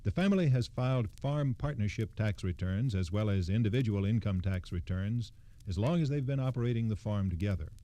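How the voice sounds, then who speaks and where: A man's voice: on the low side, animated, fairly quick, mellow, dry recording. A man giving formal, explanatory scripted narration in the manner of 1960s radio. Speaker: radio storyteller.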